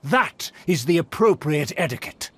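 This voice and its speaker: Pompous, haughty male aristocrat, high-pitched and animated. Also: moderate pace, a little hiss or hum. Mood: haughty scorn. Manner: pompous, formal, lecturing diction. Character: haughty, disdainful male aristocrat of a declining noble clan. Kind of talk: scripted video game character dialogue.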